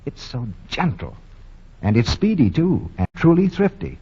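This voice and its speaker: A man's voice: medium pitch, huge pitch swings, measured pace, warm tone, slightly rough, dull audio. A male announcer reading a radio commercial with emphatic salesman delivery, on an old 1940 radio broadcast.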